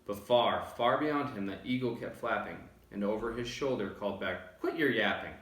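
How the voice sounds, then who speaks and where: A man reading children's verse aloud as an expressive storybook narrator. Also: lowish voice, moderate pace. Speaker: storybook reader. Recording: roomy, distant microphone.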